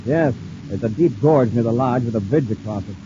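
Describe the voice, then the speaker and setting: An actor playing a resolute, heroic space patrol commander with clipped, commanding delivery in an old-time radio adventure. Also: male voice, medium pitch, fairly quick, close to an everyday voice. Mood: brisk, commanding. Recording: muffled, noisy old radio recording.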